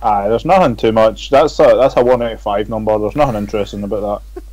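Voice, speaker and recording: A man's voice: fairly low pitch, fast, darkish tone, no room sound, muffled recording. A Scottish man co-hosting a casual podcast, jokey and hesitant, often restarting his sentences. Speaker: podcast co-host. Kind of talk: casual chat.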